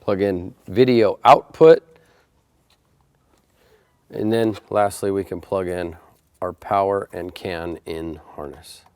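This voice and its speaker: A man's voice: low voice, fairly even intonation, slow, long pauses, darkish tone, smooth, no echo. A man presenting a how-to installation tutorial, explaining each step in a plain, methodical way. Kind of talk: step-by-step instructional narration.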